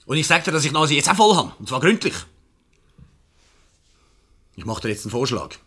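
An actor with a Swiss German accent playing an impatient, defensive husband in a radio comedy. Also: male voice, on the high side, sing-song, brisk, sharp tone, husky, full character voice. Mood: fed up. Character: exasperated, defensive husband of a jealous wife. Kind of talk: 1970s radio comedy acting.

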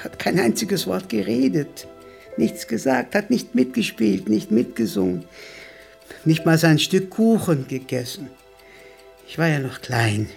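An actor in a radio play playing a nervous, bitter violinist posing as his brother, with halting, stammering speech. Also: fairly high pitch, gravelly, exaggerated voice. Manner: halting, stammering, self-interrupting.

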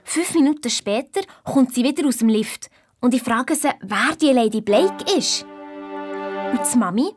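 A woman's voice: a little high, animated, normal speed, no room sound, studio quality, soft background music. A woman playing a confident, eager young hotel owners' daughter in a children's audio drama, telling her story with a Swiss German accent. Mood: intrigued, conspiratorial.

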